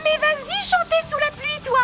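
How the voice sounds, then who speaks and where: A woman's voice: very high-pitched, normal speed. A woman voicing a chatty, self-important bird in a French cartoon dub, telling things in a dramatic, long-winded way. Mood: indignant. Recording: loud music under the voice.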